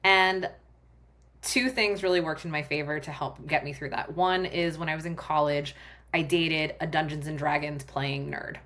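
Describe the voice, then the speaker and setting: A woman's voice: deep voice, fairly even intonation, moderate pace, a bit bright. A woman guest, a sex and relationship coach, talking frankly and animatedly in a casual podcast conversation, swearing freely.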